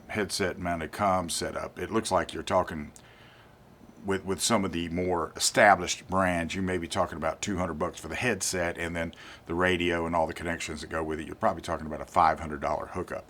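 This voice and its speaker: An older man giving an informal gear review as a channel host, speaking deliberately and repeating words for emphasis. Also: low-pitched, fairly bright tone. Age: 50s or older.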